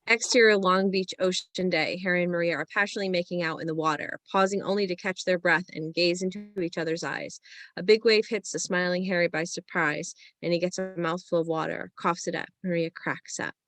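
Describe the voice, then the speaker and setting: A woman's voice: lowish voice, steady tone, a little fast, a bit bright. A woman narrating the stage directions of a screenplay in a scripted reading.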